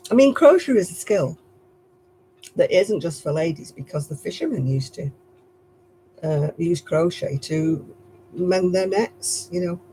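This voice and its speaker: A man's voice: fairly high pitch, expressive, measured pace, long pauses, warm tone, slight background noise, low-quality recording. A man hosting a casual crochet chat, musing tentatively with fillers. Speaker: host of a crochet chat stream.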